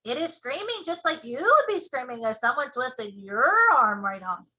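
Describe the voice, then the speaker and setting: Woman as big male storybook giant, proud, earnest, touchy, high bright forceful delivery, muddled folksy grammar. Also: big pitch swings, moderate pace, exaggerated voice. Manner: folksy muddled grammar with whimsical invented words.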